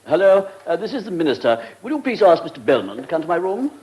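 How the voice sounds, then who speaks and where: Swooping, high-voiced pompous English minister. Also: male voice, very animated, fast talker, darkish tone, slight background noise. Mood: brisk, officious. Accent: upper-class English. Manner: pompous, self-satisfied, dithering. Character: pompous, vain, fussy British government minister. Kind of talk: British radio comedy drama acting.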